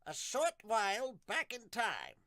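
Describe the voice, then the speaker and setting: A dramatic manga narrator acted by a man, pitched well above a normal male voice. The delivery is loud and declamatory, in a dry recording. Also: wildly swooping pitch, a little slow, no echo, heavily acted. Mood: grand, dramatic.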